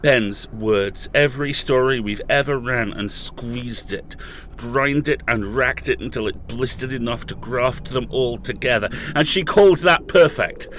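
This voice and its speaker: A man's voice: medium pitch, unhurried, a bit bright, slight background noise, natural voice. Give me a man voicing a furious, desperate podcast host held captive, talking with raw intensity in an audio drama. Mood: angry. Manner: intense, raging, pleading.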